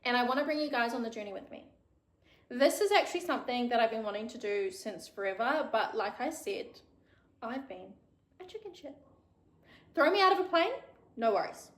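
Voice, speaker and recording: A woman's voice: on the high side, animated, fairly quick, pauses often, roomy sound. A woman in her thirties with a New Zealand accent, chatting frankly and casually on her own show, swearing freely. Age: 30s. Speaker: podcast host. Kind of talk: casual solo chat.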